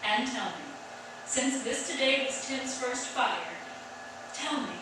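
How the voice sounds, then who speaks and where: A woman's voice: sits high, animated, takes it slowly. An actress playing a fussing, doting mother of a grown son in an old-time radio drama. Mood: homely, curious. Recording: very echoey, distant mic, clear background noise.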